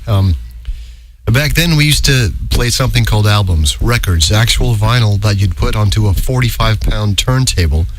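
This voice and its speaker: A man's voice: lowish voice, slight background noise. A middle-aged man hosting a live radio show in a studio, chatting in a rambling, self-correcting way. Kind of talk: casual live radio patter.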